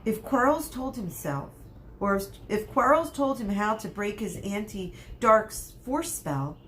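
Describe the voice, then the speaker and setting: A woman's voice: mid-range voice, animated, a little slow, fairly bright tone, slight background noise, pitched above the performer's own voice, low-quality recording. A woman reading aloud voices an eager, impulsive eleven-year-old boy wizard.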